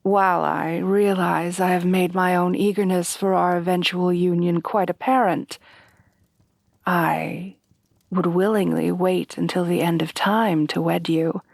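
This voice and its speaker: A woman plays an elegant headless horsewoman bride, devoted and formal, in an intimate ASMR roleplay. She speaks very slowly and softly, with a breathy tone that often sinks into a whisper. The sound is close and dry, without room echo. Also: lowish voice, fairly even intonation. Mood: reassuring, tender. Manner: refined, formal, courtly, tenderly doting. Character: refined, devoted headless horsewoman bride-to-be, adult monster girl. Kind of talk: soft-voiced romantic ASMR roleplay.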